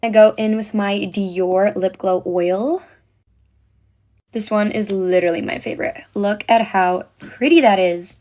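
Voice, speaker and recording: A woman's voice: medium pitch, measured pace, a little mellow. A woman in her twenties from South Africa with an American accent, chatting casually to her viewers as an influencer while getting ready. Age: 20s. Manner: chatty, upbeat, talking straight to viewers. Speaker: social media influencer. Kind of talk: get-ready-with-me vlog chat.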